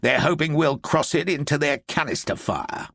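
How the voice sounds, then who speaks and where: A man putting on a gruff, irritable old-school general. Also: a little high, animated, moderate pace, fairly bright tone, no room sound, studio quality, pitched above the performer's own voice, full character voice. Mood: brusque. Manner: gruff and brusque. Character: irritable, blunt middle-aged male British general.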